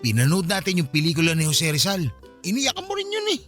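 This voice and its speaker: Radio drama role: playful Filipino boy next door, higher-pitched and quick, casual teasing. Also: wildly swooping pitch, fairly bright tone. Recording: music bed behind the voice.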